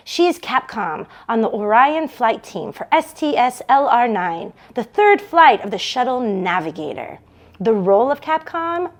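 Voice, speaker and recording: Higher-voiced woman reading a novel aloud. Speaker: book club read-along host for a bookstore.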